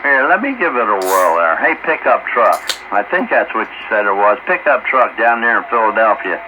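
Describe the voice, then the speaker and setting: A man's voice: lowish voice, expressive, moderate pace. An American man talking in a folksy, colloquial way as a CB operator over a two-way radio. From Pennsylvania, United States. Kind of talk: casual radio chat. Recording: muffled CB radio with heavy static. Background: loud radio static.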